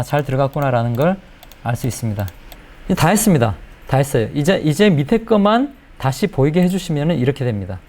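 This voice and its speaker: Male tutorial presenter, middle-aged, voice a bit high, explains stepwise and checks in with questions. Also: a little mellow. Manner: explanatory, step by step, often checking with rhetorical questions. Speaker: video editing instructor. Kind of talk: spoken screen-recorded software tutorial.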